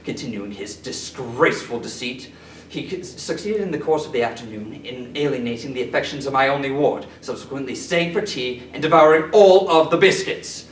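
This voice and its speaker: A man performing a comic period monologue as an indignant, pompous Victorian gentleman, orating formally. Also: medium pitch, lively intonation, normal speed, lightly acted. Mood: affronted, haughty. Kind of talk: theatrical period comedy monologue. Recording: roomy, distant mic, background noise.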